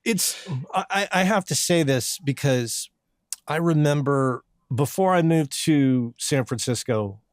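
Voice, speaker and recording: A man's voice: a little high, slow pace, no echo. Dry-sounding American male podcast host. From southern New Mexico, USA. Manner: friendly, repeats words as he talks. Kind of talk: casual interview chat.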